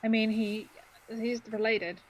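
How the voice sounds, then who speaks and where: A woman's voice: fairly high pitch, steady tone, a little slow, slight background noise, rough recording. A woman playing a tabletop roleplaying game, improvising casual table talk partly in character.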